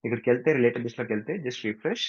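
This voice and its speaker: A man with a Telugu Indian accent giving an informal screen-share software tutorial, mixing in English technical terms and often checking understanding with short tag questions. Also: mid-range voice, rapid-fire, husky. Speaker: software instructor. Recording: dull, muffled lo-fi sound.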